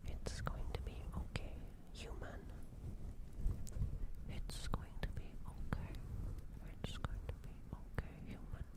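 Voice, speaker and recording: A performer playing a gentle, caring alien in an ASMR roleplay, speaking in a breathy, soothing whisper with some hesitation. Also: takes it slowly, natural voice. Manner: soft, hesitant, soothing, repeats reassurances. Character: gentle caring alien tending a crash-landed human.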